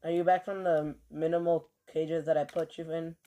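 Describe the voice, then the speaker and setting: Guy streamer rambling to chat, filler words everywhere, soft dark voice, keys clacking. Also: on the high side, level tone, normal speed. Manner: rambling, filler-heavy, swears offhand. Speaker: game streamer. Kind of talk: casual livestream chatter.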